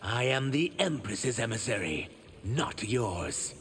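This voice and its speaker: An actor playing an earnest, dutiful shapeshifting reptilian emissary who carries grief, in video game dialogue with noisy sound. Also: male voice, medium pitch, lively intonation, takes it slowly, fairly bright tone. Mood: firm.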